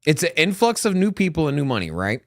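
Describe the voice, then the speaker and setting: A man co-hosts a casual crypto live stream and speaks in a high voice that swings widely. He rambles, restarts his sentences and keeps pushing his point. The sound is dry and close. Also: quick pace, dry recording. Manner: animated, rambling, many restarts. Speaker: co-host of a crypto news show.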